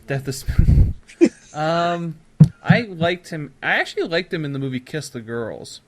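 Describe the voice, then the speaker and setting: A man's voice: a little high, big pitch swings, a little slow, background noise. Casual male podcast host.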